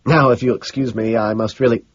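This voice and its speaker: A man's voice: medium pitch, fairly even intonation, fairly quick, muffled recording, close to an everyday voice. A man voicing a smug, dismissive, upper-class socialite with an affected, condescending drawl.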